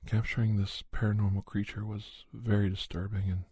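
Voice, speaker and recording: Male actor as grave, gentle doctor, paranormal investigator narrating a podcast tale. Husky, hushed, very dry close sound. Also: fairly low pitch, little pitch movement, fairly quick, no echo. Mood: hushed, regretful. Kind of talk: ASMR scripted storytelling.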